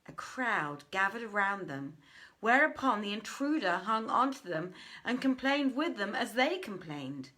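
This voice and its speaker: A woman reading an old tale as dramatic scripted narration, in a formal, deliberate, theatrical way. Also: mid-range voice, very animated, pushed and bright. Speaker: audiobook reader.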